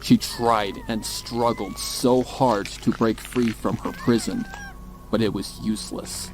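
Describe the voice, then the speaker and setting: A man's voice: mid-range voice, wildly swooping pitch, slow, music in the background, rough recording. A man reading a horror story aloud in a dramatic, scripted narrator's style. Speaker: narrator of a horror fan fiction.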